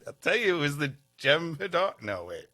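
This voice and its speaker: Animated, bright-voiced man bantering. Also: fairly high pitch, normal speed, no room sound, clean studio sound. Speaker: podcast co-host. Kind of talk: casual comic banter and riffing.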